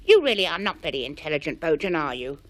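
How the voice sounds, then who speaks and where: A woman voicing a small, sensible young character who talks in a bright, bossy way. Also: very high-pitched, sing-song, normal speed, voice pushed up, exaggerated voice. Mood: cross.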